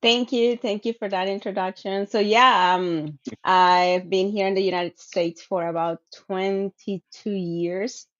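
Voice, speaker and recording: A woman's voice: mid-range voice, steady tone, unhurried, few pauses. A woman, telling her story as a guest in an earnest, reflective way with many fillers, over a video call. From Venezuela. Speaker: guest speaker, sport shooter. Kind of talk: casual personal storytelling. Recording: muffled lo-fi call audio.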